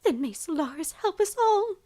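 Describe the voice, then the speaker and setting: A woman's voice: very high-pitched, very animated, moderate pace, dark tone. A woman voicing an elderly, motherly mare who runs a bakery, fussing over customers and calling them dear. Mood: nervous. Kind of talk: amateur audio drama acting.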